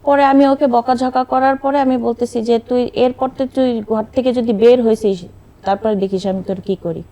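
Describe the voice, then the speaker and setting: A middle-aged woman with a Bangladeshi accent speaks in a very mellow, somewhat high voice. She tells a personal story in conversational language, acting as her own narrator and quoting what was said. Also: steady tone, moderate pace. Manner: quotes remembered dialogue. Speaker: interview guest, bereaved mother.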